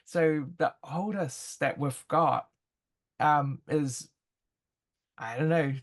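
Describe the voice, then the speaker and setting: A woman with a New Zealand accent speaking as an interview guest, conversational and reflective, feeling her way through halting phrases. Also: sits low.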